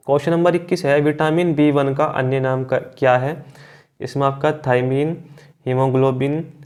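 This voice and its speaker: A man's voice: medium pitch, a little mellow. A man teaching an exam quiz lesson, reading out questions and options, repeating answers and addressing his students directly.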